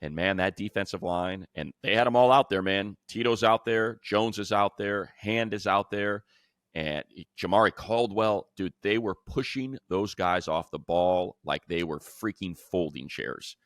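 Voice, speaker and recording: A man's voice: low-pitched, measured pace, fairly bright tone, dry recording, studio quality. An American man co-hosting a sports talk show, giving unscripted, emphatic analysis in colloquial language. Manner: emphatic, colloquial, acts out imagined dialogue. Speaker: sports podcast co-host and play-by-play announcer.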